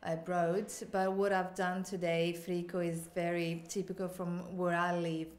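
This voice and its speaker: A woman's voice: fairly low pitch, little pitch movement, measured pace, darkish tone. Young woman from Italy explaining. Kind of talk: casual explanation to camera. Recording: roomy echo.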